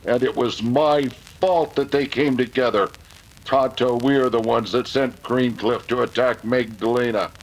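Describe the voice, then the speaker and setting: An actor playing an earnest, resolute masked cowboy hero in an old-time radio western. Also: male voice, mid-range voice, fairly even intonation, a little slow, low-quality recording. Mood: grave, regretful.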